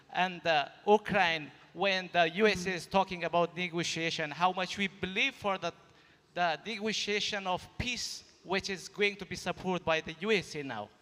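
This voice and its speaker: A man with an Afghan accent puts a formal, halting question from the audience at a public debate in a large hall. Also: high voice, bright, forceful tone. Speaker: audience member asking a question. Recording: long hall reverb.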